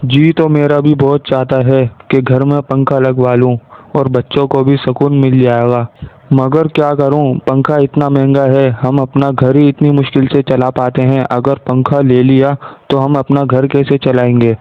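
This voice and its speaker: A man's voice: mid-range voice, level tone, normal speed, clear, resonant tone, dry recording, close to an everyday voice. A man playing a poor, good-natured village farmer and husband in a story, with a plain, resigned manner. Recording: narrow tinny phone-like sound.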